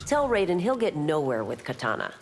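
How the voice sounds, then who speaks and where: A woman's voice: sits low, very animated, brisk, exaggerated voice. A woman playing a stern, proud female constable in a fantasy video game, formal and authoritative. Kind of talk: video game character dialogue. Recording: long hall reverb, faint noise.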